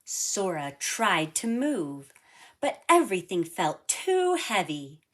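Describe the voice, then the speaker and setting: A woman narrating a children's storybook in a deliberate, animated read-aloud style. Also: fairly low pitch. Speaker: storybook reader.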